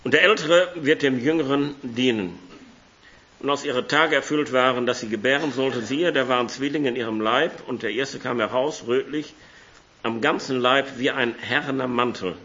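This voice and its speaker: A man with a cold preaches in a church hall, his voice hoarse but bright and forceful. He talks casually with the congregation and reads scripture aloud. The sound is a little muffled and lo-fi. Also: mid-range voice. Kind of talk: sermon with Bible reading.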